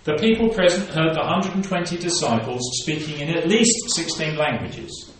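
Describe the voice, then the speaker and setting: A man's voice: on the high side, normal speed, slight background noise. A man preaching a sermon, reading scripture aloud and then explaining it to his congregation. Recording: very echoey room, voice from a distance.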